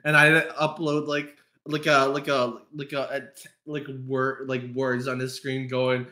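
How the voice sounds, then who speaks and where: A man hosting a casual podcast chat, halting and stammering as he repeats his words. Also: mid-range voice, completely flat delivery, pushed and bright. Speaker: podcast host.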